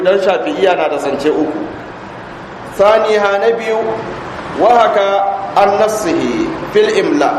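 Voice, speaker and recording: A Nigerian Hausa man, an Islamic scholar, teaching a religious text in a didactic way, reading and explaining and putting questions to his listeners. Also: fairly high pitch. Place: large echoing mosque interior. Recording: echoey hall, distant reverb, clear background noise.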